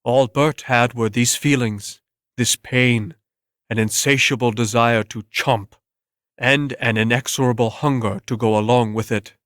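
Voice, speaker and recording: A man's voice: mid-range voice, steady tone, a little slow, fairly bright tone, dry recording. Male narrator for a dramatic story, mid-range voice, scripted storytelling read, dry acoustic with no room sound.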